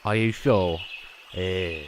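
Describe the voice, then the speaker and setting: A man's voice: deep voice, slow pace, a little hiss or hum. A man playing a blunt, exasperated traveller in a comedy fantasy sketch. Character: blunt, unimpressed male traveller, impatient with fools.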